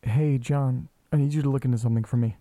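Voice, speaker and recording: A man's voice: medium pitch, steady tone, fast, mellow, slight background noise, lightly acted. A man playing an awkward, insistent amateur investigator in an audio drama, speaking in a hesitant, casual way. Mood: intent, uneasy. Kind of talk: naturalistic audio drama acting.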